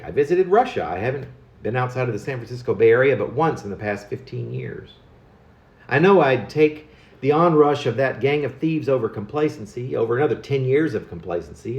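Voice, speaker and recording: A man reading his own reflective personal writing as a poet, musing and halting, with self-corrections along the way. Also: mid-range voice, reverberant.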